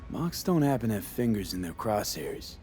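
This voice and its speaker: An actor playing a gruff, blunt, streetwise mercenary in a video game. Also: male voice, on the low side, sing-song, normal speed, darkish tone, faint music behind. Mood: low-key, probing. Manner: blunt, terse. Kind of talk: video game dialogue acting.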